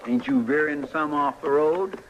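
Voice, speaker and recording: An actor playing a folksy, loyal frontier deputy who grumbles yet defers, with a rural American accent, in old-time radio style. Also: male voice, fairly high pitch, moderate pace, muffled recording, close to an everyday voice. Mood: deferential. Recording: noisy old radio drama recording.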